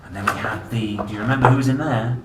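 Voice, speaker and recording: A man's voice: on the low side, fairly even intonation, quick pace. A man reading a picture book aloud to young children in a playful, theatrical way, exclaiming and putting questions to the listener. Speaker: children's book reader and host. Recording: roomy, distant mic, clearly noisy.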